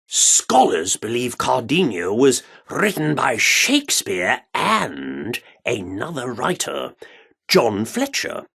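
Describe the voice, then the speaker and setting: An actor playing a pompous, excitable British theatre director in a video game, speaking in grandiose, florid Shakespearean style. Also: male voice, mid-range voice, takes it slowly, creaky, slightly rough, full character voice. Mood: earnest, reverent.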